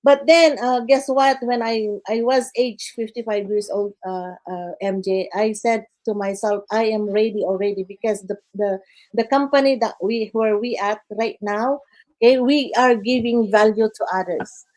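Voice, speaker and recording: Older filipino woman guest, slightly high voice, halting delivery with many fillers, chatty. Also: steady tone, darkish tone, rough recording. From Philippines. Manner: halting, many "uh" fillers, says "guys" and "okay" often. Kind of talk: casual interview storytelling.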